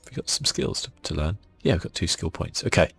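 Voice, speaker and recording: A man giving soft-spoken ASMR commentary on a video game in a murmured, laid-back way, as if thinking aloud. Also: deep voice, animated, a little fast, vocal fry, breathy edge. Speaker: gaming channel host. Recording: faint music behind.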